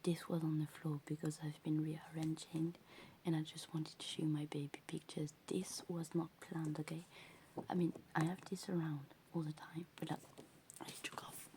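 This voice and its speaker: A woman with English as a second language, whispering softly in a rambling ASMR show-and-tell full of fillers. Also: low voice, level tone, normal speed, background noise. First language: not English. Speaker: ASMR creator.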